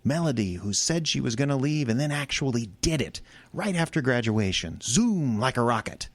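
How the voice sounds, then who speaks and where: A man's voice: medium pitch, big pitch swings, normal speed, dry recording. An actor with an American accent playing a goofy, talkative small-town geek in his thirties, rambling and stammering eagerly in an audio drama. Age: 30s.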